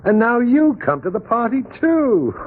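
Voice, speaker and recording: A gloating American mobster from a 1940s radio crime show, full of tough slang. His slow, menacing delivery swings widely in pitch. The old broadcast is thin and noisy. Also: sing-song, phone-quality sound, full character voice. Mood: gloating, mocking. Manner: slangy tough-guy gangster talk. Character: menacing male gangster boss, mocking and gloating. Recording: noisy, band-limited 1940s radio recording.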